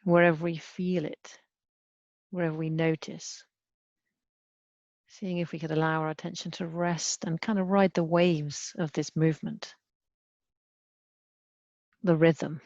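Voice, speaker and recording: A woman leading a guided body scan meditation as a mindfulness teacher, speaking gently and unhurriedly with long pauses. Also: low-pitched, little pitch movement, no echo. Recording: dull, muffled lo-fi sound.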